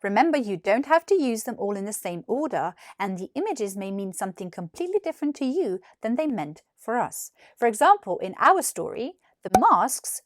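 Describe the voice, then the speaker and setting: A British woman in her twenties reading a children's story in an expressive, clearly articulated narration. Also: on the high side, moderate pace, hardly any pauses, no echo, clean studio sound. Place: small furnished room indoors. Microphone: lapel mic.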